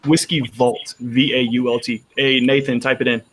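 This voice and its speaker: A man's voice: medium pitch, level tone, moderate pace, fairly bright tone. A man with a Texan accent chatting casually on a roundtable, rambling with frequent restarts. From Texas. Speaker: roundtable panelist. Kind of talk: casual chat.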